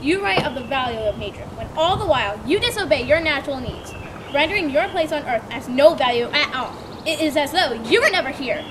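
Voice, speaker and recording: A woman's voice: high voice, lively intonation, a little fast. A woman reading the part of a spirited, blunt young working woman in an amateur dramatic reading. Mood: defiant. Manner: blunt, defiant. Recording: outdoor traffic noise behind. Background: traffic noise.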